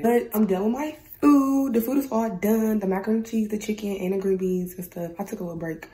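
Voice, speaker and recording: A woman's voice: medium pitch, warm tone, low-quality recording. A young woman in her twenties from Ohio, chatting casually to viewers as she cooks in her home kitchen, often calling them "y'all". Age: 20s. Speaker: vlogger. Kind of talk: casual cooking vlog talk.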